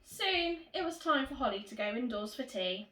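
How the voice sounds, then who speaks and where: Roomy distant recording, woman, primary school teacher, lively swooping intonation, storytelling for young children. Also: high-pitched, moderate pace, noisy background. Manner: expressive, addressing young children directly. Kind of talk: animated children's storybook reading. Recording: roomy echo, distant microphone.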